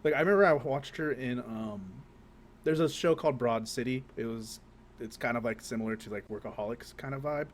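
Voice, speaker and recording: A man with a mid-pitched voice bantering as a podcast co-host. He is casual and joking, heavy on slang and swearing. He often puts on indignation for comic effect. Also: fairly quick, a little hiss or hum.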